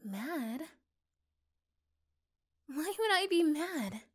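A gentle young girlfriend acted by a woman speaks in an intimate ASMR roleplay. Her voice is high and her pace is slow, with soft, hesitant pauses. She sounds affectionate and accepting. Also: big pitch swings, heavily acted. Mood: reassuring, curious. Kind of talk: ASMR roleplay, intimate one-sided dialogue.

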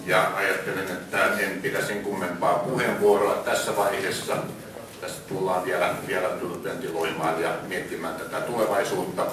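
A man's voice: deep voice, flat delivery, a crowd in the background. A man giving an informal opening address as chair of a meeting. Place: indoor meeting room, camera in the audience. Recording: echoey meeting room, distant mic, noisy.

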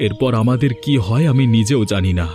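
An actor playing a troubled, fatalistic poor village eldest brother in a cartoon, earnest and grave. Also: male voice, medium pitch, fairly quick, dark tone, soft background music, natural voice. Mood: gloomy, resigned. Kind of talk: cartoon moral story voice acting.

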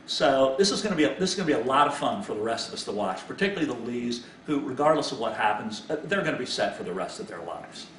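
A middle-aged man delivers sports commentary in an informal, teasing way, at a medium pitch. He is recorded in a small, echoey room, a little away from the microphone, with some noise behind his voice. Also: very animated, fast, few pauses, fairly bright tone. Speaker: sports analyst. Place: small indoor home office. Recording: roomy, distant mic, background hiss.

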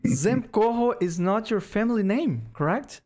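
Podcast host, man in his forties, high voice, formal and measured, studio mic in a home office. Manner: formal, reads bio at a steady clip. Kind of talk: interview with read introduction. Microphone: studio microphone on boom arm.

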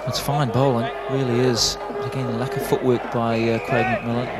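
A man's voice: medium pitch, little pitch movement, normal speed, over loud music. A man giving live analysis as a television cricket commentator. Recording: noisy archive broadcast, loud crowd. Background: loud stadium crowd noise.

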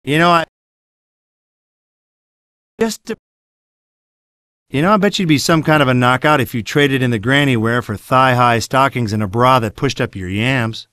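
A man acts a wisecracking American horror game hero stuck in an asylum. He is brash and sarcastic, with a mid-pitched voice in a close, dry studio sound. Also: moderate pace, pauses often, fairly bright tone, dry recording, close to an everyday voice. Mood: cheeky. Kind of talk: video game cutscene acting.

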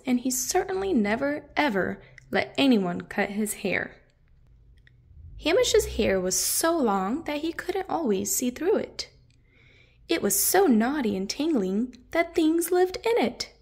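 A woman reading a children's picture book aloud, expressively, drawing out the descriptive words for emphasis. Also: on the high side. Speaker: children's story narrator.

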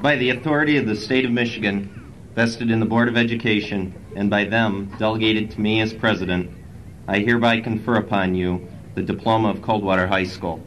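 A man's voice: lowish voice, fairly even intonation, fairly quick, low-quality recording. A man giving a formal ceremonial pronouncement as a board president. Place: outdoor sports field podium. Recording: outdoor PA with faint noise.

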